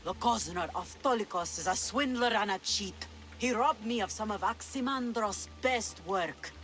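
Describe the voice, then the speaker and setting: A woman's voice: medium pitch, normal speed, fairly bright tone, soft background music, lightly acted. A woman plays a middle-aged pirate queen in a video game. She is sly and imperious, savouring her words with languid, teasing theatricality over a musical score. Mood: commanding. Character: imperious, sly, treasure-loving older female pirate queen. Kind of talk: video game cutscene acting.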